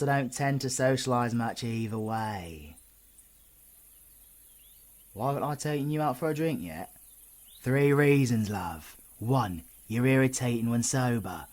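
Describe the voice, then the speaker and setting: Husky, low-voiced British supervillain, acted by a man. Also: darkish tone. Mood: aloof, sardonic. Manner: gruff, sardonic, swearing banter. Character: cocky, irritable, teasing male supervillain with dark magic. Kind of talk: audio roleplay, spoken intimately to the listener.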